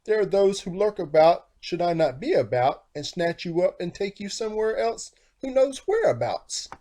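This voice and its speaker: A man's voice: sits high, big pitch swings, moderate pace, dark tone, voice pushed up. A man voicing a fretful, protective mother hen in a storybook, with dramatic, emphatic rhyming warnings. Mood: scolding.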